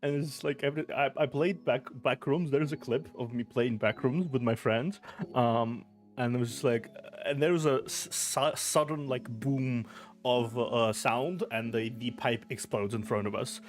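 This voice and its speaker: A man hosting a casual stream chat, telling stories with animated delivery, acting out sounds, and laughing a lot. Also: mid-range voice, normal speed. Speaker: host.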